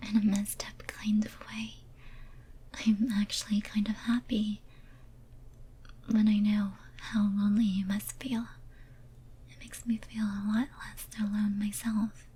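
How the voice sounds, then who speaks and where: Girl doing a lonely obsessed demon lady for asmr, super breathy whispering, slow, intimate, kinda hesitant. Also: mid-range voice, dark tone, heavily acted. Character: lonely, clingy, obsessive female demon sealed away.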